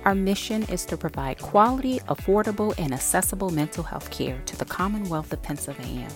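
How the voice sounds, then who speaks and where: A woman reading sponsor messages for a podcast in a smooth, even way. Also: on the low side, fairly quick, faint music behind. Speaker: sponsor announcer. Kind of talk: read sponsor ad.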